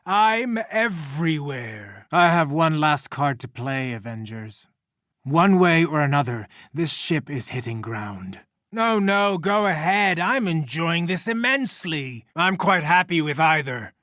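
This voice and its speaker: A mutated genius villain from a video game, a middle-aged megalomaniac set on revenge. His voice sits fairly high for a man and is theatrical, full of menace and scorn. The sound is very dry, with no room on it. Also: big pitch swings, measured pace, bright, forceful tone, no room sound, exaggerated voice. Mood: mocking. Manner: menacing, grandiose, contemptuous, theatrical. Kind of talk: dramatic video game voice acting.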